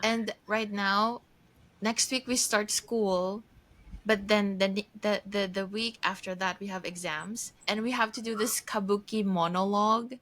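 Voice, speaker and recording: A woman's voice: medium pitch, fairly even intonation, a bit bright, no room sound. A woman in her early thirties from the Philippines who lives in Japan, co-hosting a casual chat with an old friend, laughing often and restarting sentences. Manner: laughs often, talks in quick bursts with restarts. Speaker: podcast co-host.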